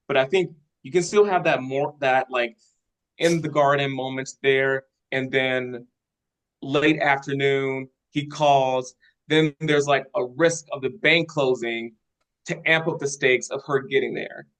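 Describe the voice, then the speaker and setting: A man giving spoken critique in a measured, constructive way, organized point by point, as a participant in a script workshop. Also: medium pitch, a bit bright, low-quality recording. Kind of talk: spoken critique at a table read.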